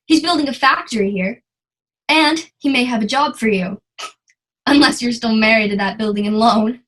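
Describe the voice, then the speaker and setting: A woman playing a gentle, practical young sweetheart in a radio drama, with a slightly husky voice. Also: fairly high pitch, normal speed. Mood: coaxing. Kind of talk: old-time radio drama acting.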